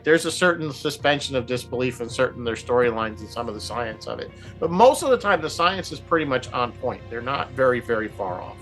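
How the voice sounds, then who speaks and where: A man with a mid-pitched voice talks casually on a radio roundtable, with music underneath. He explains at length, haltingly, often doubling back on his words as he thinks. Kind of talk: casual discussion, explaining a TV series.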